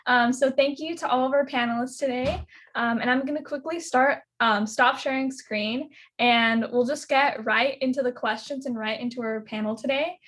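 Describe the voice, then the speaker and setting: A woman's voice: high voice, fairly even intonation, normal speed, a bit bright. Upbeat young woman moderating online. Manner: casual, filler-heavy and upbeat. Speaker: panel moderator. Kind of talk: virtual panel moderation. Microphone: video call.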